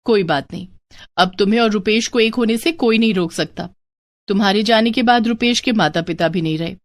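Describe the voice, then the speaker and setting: A woman voicing a determined, caring young married woman in a dramatized moral story, with expressive, earnest delivery. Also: mid-range voice, fairly quick, natural voice. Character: determined, caring young married sister-in-law, sly and warm.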